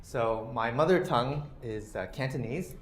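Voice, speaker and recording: A man's voice: mid-range voice, moderate pace, slight background noise. A man in his forties giving a lecture presentation, talking loosely with many "you know" and "uh" fillers. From Canada. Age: 40s. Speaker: guest speaker. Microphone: microphone. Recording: roomy lecture hall sound.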